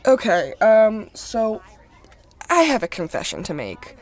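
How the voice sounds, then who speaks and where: Female impostor superhero, played by an actress, mid-pitched, candid, sheepish, wry everyday delivery. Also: wildly swooping pitch, a little slow. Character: sheepish fan posing as a superhero. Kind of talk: casual dialogue in audio drama.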